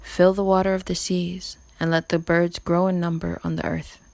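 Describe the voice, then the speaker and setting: A woman's voice: on the low side, level tone, normal speed, vocal fry, darkish tone, no room sound. A woman reading scripture as scripted narration. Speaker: volunteer scripture reader.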